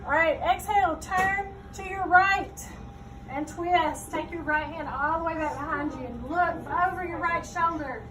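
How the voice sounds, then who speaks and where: A woman's voice: extremely high pitch, measured pace. A woman yoga teacher leading a children's class, giving short step-by-step cues and checking in with questions. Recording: distant mic, clearly noisy room.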